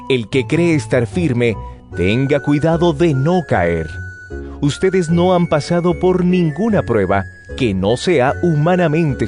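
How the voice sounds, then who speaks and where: An actor playing an apostle earnestly exhorting believers in a dramatized, measured scripture reading. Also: male voice, mid-range voice, a little mellow. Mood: solemn, instructive. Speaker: Bible audio narrator. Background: background music.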